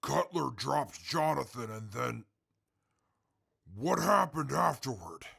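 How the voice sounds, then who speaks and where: Casting: male actor as domineering wasteland prisoner, heavily creaky and raspy, halting, blunt and irritable, for audio drama. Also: medium pitch, big pitch swings, fairly bright tone, exaggerated voice. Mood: strained questioning. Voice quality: very gravelly, raspy. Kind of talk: post-apocalyptic audio drama acting.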